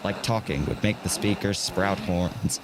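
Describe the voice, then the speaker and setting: A man's voice: sits low, a little slow, background noise. A man reading scripted narration for a dramatic audio story. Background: music.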